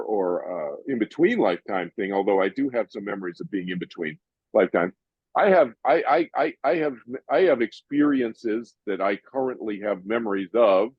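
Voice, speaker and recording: An older man from Massachusetts hosting an audience Q&A over a video call, chatty and animated, often restarting his sentences. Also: mid-range voice, breathy edge, dry recording, muffled recording. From Massachusetts, USA. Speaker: host answering audience questions.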